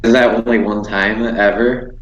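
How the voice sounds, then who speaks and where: A man's voice: lowish voice, fairly even intonation, moderate pace, music in the background. A man chatting casually as he learns a game, recorded over a dull, roomy voice chat.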